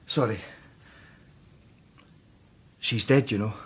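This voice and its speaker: Husky, brooding Scottish man. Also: lowish voice, slow pace, full character voice. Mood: subdued. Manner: terse, defensive, intense. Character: brooding, guilt-ridden struggling composer, bitter and devout. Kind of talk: radio drama acting.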